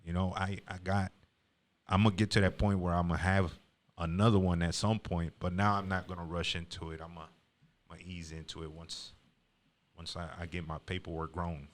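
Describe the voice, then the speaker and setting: A man talking in casual chat, rambling and halting, with frequent restarts. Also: sits low, little pitch movement.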